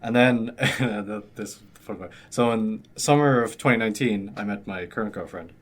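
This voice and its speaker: Low-voiced Irish man, rambling chat. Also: moderate pace. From Ireland. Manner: rambling, many fillers and restarts. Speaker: graduate student guest. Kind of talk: casual interview chat.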